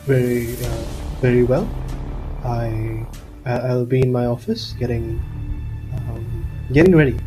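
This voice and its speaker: A man's voice: mid-range voice, steady tone, measured pace, over music, natural voice, low-quality recording. A man playing a cowardly, bumbling professor in an audio drama, stammering and evasive. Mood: flustered, nervous. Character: cowardly vain male professor, bumbling and flustered.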